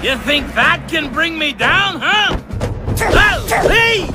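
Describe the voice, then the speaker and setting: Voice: very high voice, big pitch swings, takes it slowly, full character voice. An actor voicing a mischievous, bullying bird thief in a dubbed cartoon, with a brash, taunting manner. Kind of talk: English-dubbed cartoon acting. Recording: loud music under the voice.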